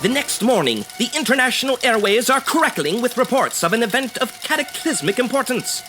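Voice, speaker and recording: A man's voice: extremely high pitch, sing-song, fairly quick. A man playing a dramatic, urgent narrator in the manner of a 1940s radio serial. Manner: theatrical, urgent announcer delivery. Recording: music under the narration.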